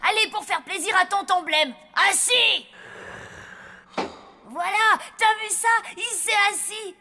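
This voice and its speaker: A woman's voice: extremely high pitch, slow, bright, forceful tone, heavily acted. An actress voicing a zombie boy, competitive and whiny with his sister. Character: zombie boy, bickering brother.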